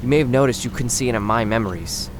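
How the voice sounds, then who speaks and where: A man's voice: medium pitch, quick pace, noisy background, close to an everyday voice. A man playing a tired, blunt fantasy adventurer in an audio drama, firm and explanatory. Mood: tired, explaining.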